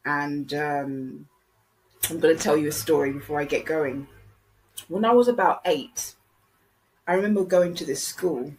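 Deep-ish lady podcasting, blunt and honest, says things twice for effect. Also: a little slow, pauses often, darkish tone, slight background noise, poor audio. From Jamaica. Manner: blunt, candid, repeats phrases for emphasis. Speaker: podcast host. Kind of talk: reflective commentary and personal storytelling.

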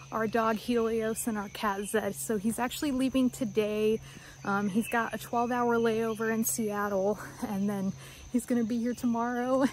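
A young adult woman talking casually and excitedly to camera as a vlogger, in informal, chatty speech. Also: on the high side, slight background noise, poor audio.

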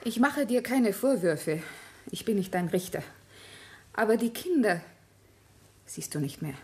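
A woman's voice: mid-range voice, animated, unhurried, darkish tone, close to an everyday voice. An actress playing a resolute, reproachful grown daughter and protective mother in a radio drama, firm and emotional. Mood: hurt, resolute. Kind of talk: German radio drama acting.

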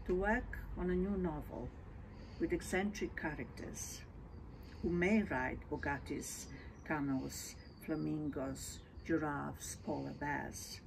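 An older woman from Serbia, reading poetry in measured, deliberate phrases. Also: low-pitched, vocal fry. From Belgrade, Serbia. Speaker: poet. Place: outdoors in a leafy garden. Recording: noisy outdoor ambience.

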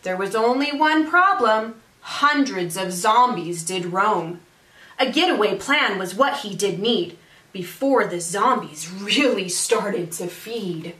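A woman's voice: mid-range voice, animated, slow, pushed and bright. A woman in her thirties, a teacher, reading a children's storybook aloud expressively. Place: small indoor room with brick wall. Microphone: camera a few feet away. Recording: echoey room, mic at a distance.

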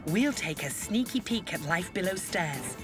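Woman, documentary narrator, animated delivery, scripted, prominent music behind. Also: lowish voice, a little slow. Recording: music bed under voice. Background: background music.

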